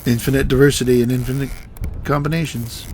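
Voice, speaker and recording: A man's voice: medium pitch, level tone, quick pace, darkish tone, slight background noise, close to an everyday voice. A man playing a composed, dryly sardonic starship captain in a science fiction audio drama, speaking formally. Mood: wry. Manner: formal, dry, restrained.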